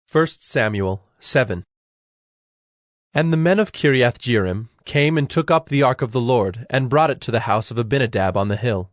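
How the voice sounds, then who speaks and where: A man narrating scripture from a script in a measured, solemn storytelling manner. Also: medium pitch, no echo, clean studio sound.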